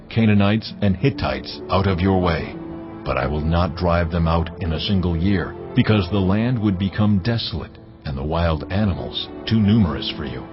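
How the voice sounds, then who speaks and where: An actor playing God as a solemn, commanding deity in a dramatized scripture reading, speaking in a measured, authoritative way. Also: male voice, sits low. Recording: dull studio sound over clear music. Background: music.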